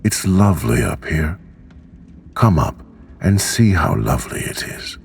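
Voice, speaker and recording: Man putting on a cheerful little girl, eager, lifted pitch over a deep creaky base. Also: a little slow, a little hiss or hum, exaggerated voice. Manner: bright, calling out excitedly.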